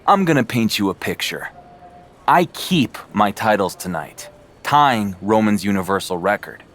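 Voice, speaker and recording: A man's voice: on the low side, animated, a little slow, fairly bright tone. A man playing a cocky, cool-headed wrestling champion in a game cutscene, with a dry, cutting manner.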